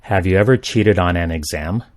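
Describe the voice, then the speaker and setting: A man's voice: deep voice, normal speed, no echo, clean studio sound. Man reading practice sentences twice. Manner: reads each sentence twice, careful and even. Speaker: English sentence reader. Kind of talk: slow repeated sentence reading for learners.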